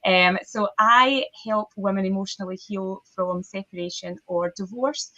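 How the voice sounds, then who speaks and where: A Scottish woman coach introducing herself on a panel, earnest and speaking deliberately slowly. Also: medium pitch, bright, forceful tone, dull audio. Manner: earnest, deliberately slowed speech. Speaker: divorce coach.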